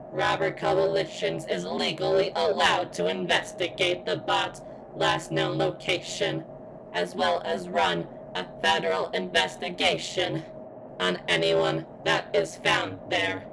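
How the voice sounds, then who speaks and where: A woman voicing a female security robot that craves freedom, speaking formally and haltingly in a strained, pained ASMR roleplay delivery. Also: low voice, creaky, background noise, exaggerated voice. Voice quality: strained, as if in pain.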